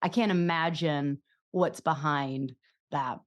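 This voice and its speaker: A woman's voice: sits low, takes it slowly. A young adult woman hosting her podcast, talking casually with many filler words, restarts and giggles. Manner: chatty, lots of likes and restarts, giggly. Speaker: podcast host, chiropractor.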